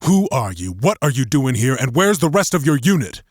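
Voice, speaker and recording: Man acting a cold, sharp resistance soldier. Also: mid-range voice, sing-song, a little fast, husky, dry recording, studio quality, voice pushed up. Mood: cold, suspicious. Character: stern, suspicious male resistance soldier giving orders.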